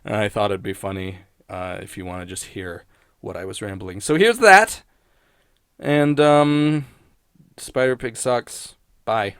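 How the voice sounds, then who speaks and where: A man's voice: on the low side, measured pace, fairly bright tone, clear, resonant tone, dry recording, clean studio sound. A man hosting a casual, rambling solo commentary in a hesitant, self-deprecating and sometimes sweary way. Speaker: YouTube host and TV critic.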